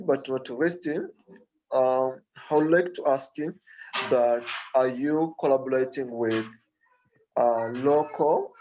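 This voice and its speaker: A man from Tanzania who runs a youth organization, speaking slowly and politely on a video call, halting as he gives formal thanks and asks a question. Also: mid-range voice, warm tone, lo-fi sound.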